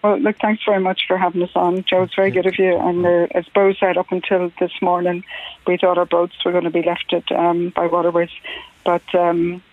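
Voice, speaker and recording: A man's voice: high-pitched, completely flat delivery, brisk. A middle-aged man from Belfast with a Northern Irish accent, calling in to a radio show, polite and hesitant with many fillers. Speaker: caller. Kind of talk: radio phone-in call. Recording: tinny phone line.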